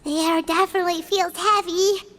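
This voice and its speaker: Squeaky, very high-pitched little fairy sidekick. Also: female voice, steady tone, moderate pace, fairly bright tone, slight background noise. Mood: thoughtful. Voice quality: squeaky, childlike. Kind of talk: video game voice acting.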